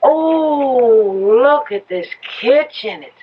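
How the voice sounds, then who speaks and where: A hopeful young wife in a radio drama. She speaks slowly and wonderingly, with lively rises and falls. The old broadcast is muffled with some noise. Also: on the high side. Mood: curious. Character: young wife, dreamy, wide-eyed and hopeful. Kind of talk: 1970s radio drama acting.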